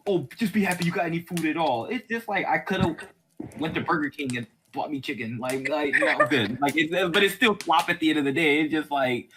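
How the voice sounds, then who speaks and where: A man's voice: fairly high pitch, a little fast, sharp tone, slight background noise, rough recording. A man chatting casually and giving opinions, rambling colloquially and repeating himself for emphasis. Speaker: podcast host. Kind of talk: casual opinionated chat about TV.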